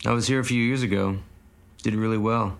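A man's voice: fairly low pitch, steady tone, a little fast, vocal fry. A man doing Trevin, a brooding zoo owner. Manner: heavy, tired.

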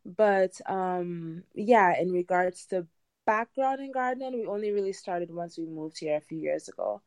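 A woman in her thirties with a Trinidadian accent chats casually as a guest. Her voice sits a little low, and the sound is dry with very little room. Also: expressive, moderate pace, a little mellow, no echo. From Trinidad and Tobago. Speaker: podcast guest, home gardener. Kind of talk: casual interview chat.

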